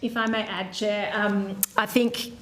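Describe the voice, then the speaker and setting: A woman giving formal testimony to a parliamentary committee. She speaks in a measured way with frequent ums and uhs. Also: mid-range voice, fairly even intonation, fairly bright tone. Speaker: official witness.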